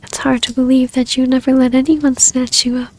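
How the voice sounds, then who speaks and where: Vampire baroness female performer, ASMR romance roleplay: high, breathy, near-whispered, barely varying in pitch, yearning and courtly. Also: flat delivery, fairly quick. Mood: tender, yearning. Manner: soft, breathy, often dropping to a whisper. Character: tender, yearning vampire baroness, long-lost lover. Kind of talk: intimate ASMR roleplay acting.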